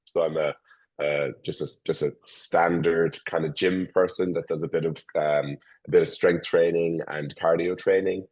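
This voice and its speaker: A man's voice: sits low, fairly even intonation, normal speed, a little mellow. A man in his forties with an Irish accent, a company founder giving an interview over a call, stumbling through restarts and fillers. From Ireland. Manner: hesitant, many restarts and fillers. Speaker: guest, tech company founder. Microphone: remote call.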